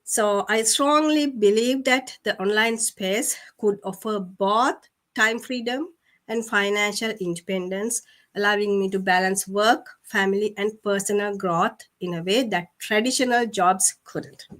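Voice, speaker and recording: A woman's voice: medium pitch, measured pace, a bit bright. A woman from Sri Lanka, a guest on a livestream interview, explaining earnestly with some fillers.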